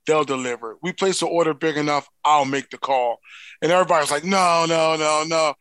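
Animated Philly storyteller, higher voice. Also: male voice, fairly quick, smooth, no echo, clean studio sound. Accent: Philadelphia. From Philadelphia. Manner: animated storyteller, laughs loudly at his own stories. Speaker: co-host. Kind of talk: casual podcast chat.

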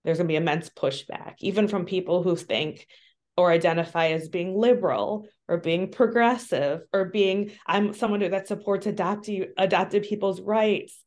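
A woman's voice: mid-range voice, normal speed, a little mellow. A woman from Colombia, a social worker, talking frankly as an interview guest, earnest, with frequent ums and restarts. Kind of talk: candid interview talk.

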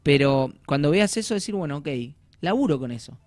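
An Argentine man with a fairly high voice speaks as a coach. He explains things in an informal, conversational way, often voicing imagined remarks. The sound is very dry, without room echo. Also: animated, brisk, a little mellow. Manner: informal, uses slang and acts out imagined quotes. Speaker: life coach, guest.

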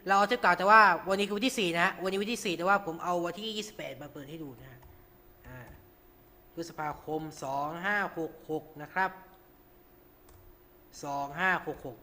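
A man's voice: high voice, normal speed, pauses often, bright, forceful tone. A man hosting an informal tutorial, talking through each step with frequent polite particles. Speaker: radio show host.

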